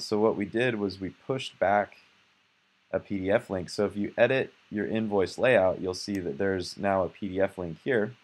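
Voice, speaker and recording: A young man casually narrating a screencast walkthrough of his software, relaxed and conversational, with fillers and self-corrections. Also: on the low side. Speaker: software developer demoing his app.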